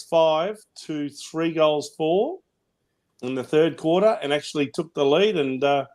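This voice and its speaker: Booming Australian man talking footy. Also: a little mellow. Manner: booming voice, opinionated, rambling with restarts. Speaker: podcast host. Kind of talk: casual footy chat, reading out match stats.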